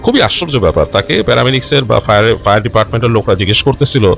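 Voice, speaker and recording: A man telling a horror story informally and unscripted, in a halting, conversational way with repeated phrases. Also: mid-range voice, expressive, creaky, a bit bright. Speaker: storyteller of a ghost story show. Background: background music.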